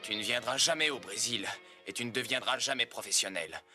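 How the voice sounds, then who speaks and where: An actor playing a stern but encouraging adult mentor in a French cartoon dub, solemn and lecturing. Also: male voice, medium pitch, brisk, sharp tone, faint music behind. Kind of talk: French animated series dub.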